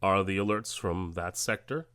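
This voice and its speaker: Deep, stern enforcement director. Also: male voice, a bit bright, clean tone, no room sound, studio quality. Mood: brisk, probing. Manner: clipped, authoritative, deliberate. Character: stern, calculating male director of government enforcers. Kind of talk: audio drama acting.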